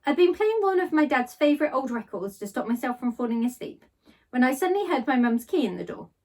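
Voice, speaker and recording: Animated, high-voiced woman reading a storybook. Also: fast, recorded from a distance. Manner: animated read-aloud delivery. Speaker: teacher reading a children's novel aloud. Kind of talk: expressive storybook narration in first person.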